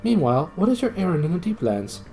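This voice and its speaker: A man's voice: sits high, very animated, fast, a little mellow, pitched above the performer's own voice. A man reading a storybook aloud, voicing a proud young male knight with commanding, old-fashioned speech. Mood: earnest proud.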